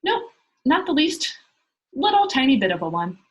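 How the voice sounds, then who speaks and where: A woman's voice: sits high, very animated, moderate pace, lo-fi sound. A woman voicing a cheerful, bossy young storybook girl who tells tall tales in an exuberant, emphatic way. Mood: breezy. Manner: exuberant, matter-of-fact, emphatic. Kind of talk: children's storybook read-aloud.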